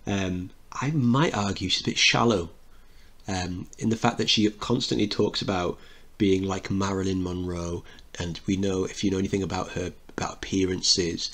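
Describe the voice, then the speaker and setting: A man's voice: low-pitched, fairly bright tone. A man teaching a recorded literature lesson, explaining a play and posing questions to students. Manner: explanatory, checks understanding with questions.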